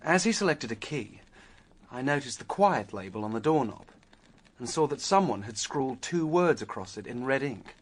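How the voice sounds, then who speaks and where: A man's voice: medium pitch, expressive, moderate pace, natural voice. An actor playing a penniless, determined young Australian man who ruefully recounts his adventure in a radio drama. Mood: uneasy. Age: young adult. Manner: vivid storytelling, stammers when flustered. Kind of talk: radio drama acting and first-person narration.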